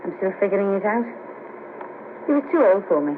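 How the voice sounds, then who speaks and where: Actress as bawdy Scouse streetwalker, blunt, cheeky, lively swooping delivery, 1970s radio drama, hissy cassette. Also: female voice, mid-range voice, fairly quick, narrow, tinny audio, close to an everyday voice. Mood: wry, guarded. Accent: Liverpool. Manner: blunt, cheeky, matter-of-fact. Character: brash, bawdy, streetwise Liverpool sex worker, wryly guarded. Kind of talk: 1970s radio play acting.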